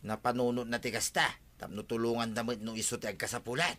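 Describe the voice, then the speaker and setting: A man's voice: mid-range voice, little pitch movement, fast, a bit bright, lightly acted. An actor with an Ilocano accent playing a casual, talkative male neighbour in a comedy drama.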